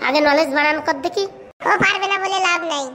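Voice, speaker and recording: A woman's voice: very high-pitched, fast talker, pushed and bright. A woman voicing a small, pert, nitpicking schoolchild in a comic cartoon, argumentative and correcting. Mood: taunting.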